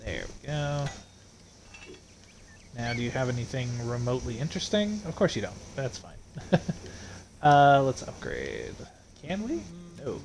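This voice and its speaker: A man's voice: mid-range voice, sing-song, a little slow, vocal fry, pauses often, a little hiss or hum. A male streamer chatting casually over gameplay, swearing freely and laughing often.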